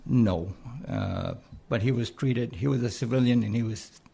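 A man in his seventies from the Philippines, with a Philippine accent, speaking haltingly as an interview guest. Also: medium pitch, huge pitch swings, vocal fry, dark tone, breathy edge. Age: 70s. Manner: hesitant, halting with many pauses. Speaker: interview guest, retired army colonel. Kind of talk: conversational interview.

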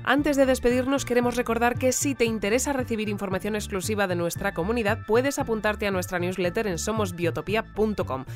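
News presenter played by a woman: medium pitch, polished scripted broadcast read, music underneath. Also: brisk, hardly any pauses, close to an everyday voice. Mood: composed, official. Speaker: newsreader.

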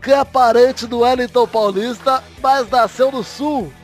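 A man co-hosting a casual podcast, reading listener riddles with a jokey, drawn-out delivery. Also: very high-pitched, faint music behind.

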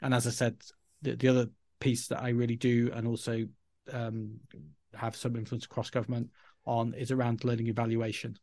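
A man's voice: medium pitch, completely flat delivery, moderate pace, warm tone, no room sound. A middle-aged man from Yorkshire with a Yorkshire accent, chatting as a podcast guest in a rambling, self-deprecating way. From Yorkshire, England. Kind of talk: casual interview talk.

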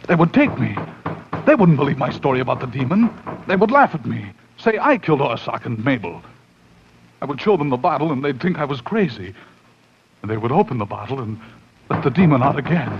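Frantic madman, wildly swinging pitch. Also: big pitch swings, fairly quick, gravelly, long pauses. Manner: melodramatic, overwrought. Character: frantic, haunted man unravelling into madness. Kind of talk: 1940s radio horror acting, dramatic narration. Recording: muffled, faintly noisy 1940s radio recording.

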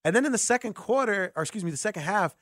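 A man's voice: high voice, animated, rapid-fire, fairly bright tone, no room sound. A man hosting a sports radio show, talking conversationally as he interviews a guest.

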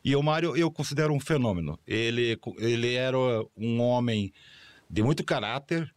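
A man's voice: mid-range voice, expressive, moderate pace, no room sound. Man, journalist guest, fond reminiscing, dry close mic. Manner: affectionate, reminiscing with tag questions. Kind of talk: casual interview.

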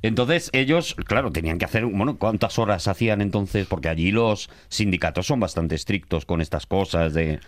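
A man's voice: deep voice, expressive, normal speed, few pauses, no room sound, studio quality. A man, a radio host asking casual, prompting questions. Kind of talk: radio chat.

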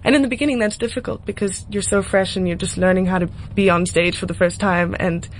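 A woman's voice: medium pitch, steady tone, fast, a little hiss or hum, rough recording. A South African woman in her twenties talking casually and informally as an interview guest. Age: 20s. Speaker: singer-songwriter guest.

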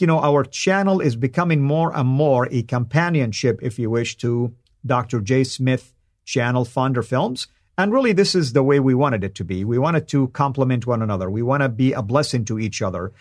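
A man's voice: medium pitch, lively intonation, normal speed, dry recording. Earnest man, dry sound. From Saudi Arabia. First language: Arabic. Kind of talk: direct-to-camera monologue.

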